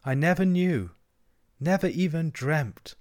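A man plays a storybook toad lost in blissful infatuation. He murmurs and rhapsodizes in long, drawn-out phrases, dreamy and wholly wrapped up in himself. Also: on the high side, animated, darkish tone, no room sound, clean studio sound, pitched above the performer's own voice. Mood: dreamy, gloating. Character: dreamy, infatuated toad, rapturous and self-absorbed.

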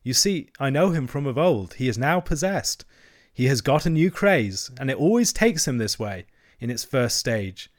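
A man's voice: mid-range voice, sing-song, normal speed, lightly acted. A man acts out a practical water rat from a storybook. He is stern and hot-tempered, speaking with brusque, emphatic firmness. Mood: firm, grim.

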